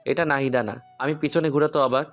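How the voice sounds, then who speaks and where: A man's voice: mid-range voice, steady tone, brisk, a bit bright. Man narrating over guitar music. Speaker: story narrator. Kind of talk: scripted first-person story narration with acted dialogue. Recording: music under the voice.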